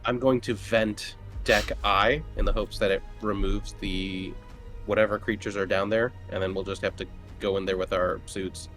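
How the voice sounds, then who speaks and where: A man's voice: on the low side, fairly even intonation, normal speed, a bit bright. A man playing a tabletop roleplaying game, chatting casually as he talks through his plans with the group. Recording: music playing behind the voice.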